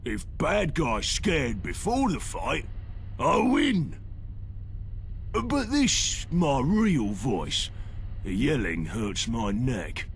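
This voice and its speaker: An actor playing a simple, good-hearted big brawler in a video game, with a gravelly British voice and a halting, earnest manner that fumbles for words. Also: male voice, mid-range voice, huge pitch swings, a little hiss or hum, exaggerated voice. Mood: earnest, plain. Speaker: companion character in a video game.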